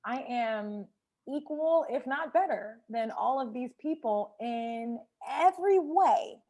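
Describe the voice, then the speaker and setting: Woman w a higher voice in an interview, emphatic, keeps asking right?. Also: animated, takes it slowly, mellow. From Georgia, USA. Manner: emphatic, rhetorical questions. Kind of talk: documentary interview.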